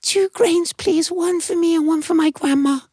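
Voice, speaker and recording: A man voicing a teeny, polite and helpful little ant with a tiny squeaky voice in a told story. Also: normal speed, darkish tone, no echo, clean studio sound. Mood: modest, polite. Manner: timid, modest, earnest.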